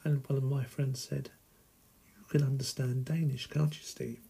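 A middle-aged man from England hosting a solo podcast, talking slowly and deliberately in a husky, gravelly voice. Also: medium pitch, level tone, mellow. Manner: slow, deliberate and plain. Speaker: podcast host. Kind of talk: slow solo podcast monologue.

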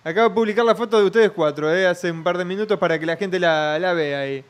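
A man's voice: sits high, fast talker. A man hosting a radio show, joking casually and reading out listener messages. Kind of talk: casual banter.

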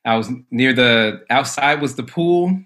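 A man's voice: mid-range voice, measured pace, a bit bright. A man from Michigan, a guest a cappella artist, talking in an easygoing, rambling way with frequent restarts. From Lansing, Michigan, USA. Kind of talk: casual conversation.

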